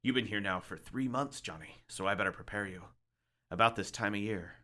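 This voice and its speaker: A man's voice: lowish voice, fairly quick, pushed and bright. A man voicing a lighthouse keeper in a dramatic story reading, folksy, musing and ominous, like an older storyteller. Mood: confiding, urgent.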